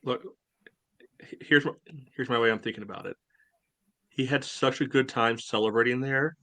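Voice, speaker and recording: A man's voice: medium pitch, normal speed, fairly bright tone. A man co-hosting a casual sports podcast, with dry humor and frequent "you know"s. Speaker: podcast co-host.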